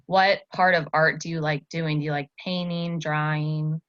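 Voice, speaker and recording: Bright-voiced woman teacher on a video call. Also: low-pitched, little pitch movement, moderate pace. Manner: encouraging, echoes the answers back. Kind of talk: casual one-on-one lesson with a child. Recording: narrow-band video call sound, faint noise.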